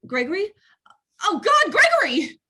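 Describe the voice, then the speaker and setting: A woman playing a bitter, jealous, sharp-tongued wife who is curt and scornful, in a screenplay table read. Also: very high voice, wildly swooping pitch, measured pace, exaggerated voice. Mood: panicked, furious.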